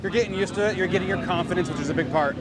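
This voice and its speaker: A man interviews riders at an outdoor race track in an upbeat, friendly way. His voice is a touch high. A loud crowd fills the space behind him. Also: racing. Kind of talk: press day interview.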